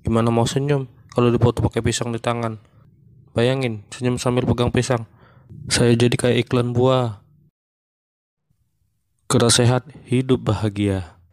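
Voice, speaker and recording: A man voicing a male monkey stand-up comedian in a cartoon who is running for mayor, telling jokes in a deadpan, even way. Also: mid-range voice, measured pace, long pauses, no echo, close to an everyday voice.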